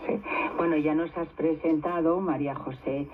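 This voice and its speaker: Higher-voiced man on shortwave radio. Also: steady tone, normal speed, phone-quality sound. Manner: polite, inviting questions. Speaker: radio co-host. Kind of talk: radio interview. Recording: thin shortwave radio reception.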